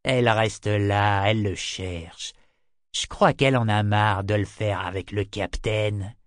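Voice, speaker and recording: A man's voice: fairly low pitch, fairly even intonation, unhurried, no echo, studio quality, natural voice. A man voicing a sly, leering, lazy sailor in an audiobook, condescending and colloquial in manner. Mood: leering, menacing. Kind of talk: audiobook character voice.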